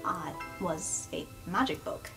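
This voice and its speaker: A woman's voice: fairly low pitch, animated, unhurried, vocal fry, pushed and bright. A woman playing a tabletop game, improvising in-character dialogue in a matter-of-fact, questioning way. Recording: music bed under the voice.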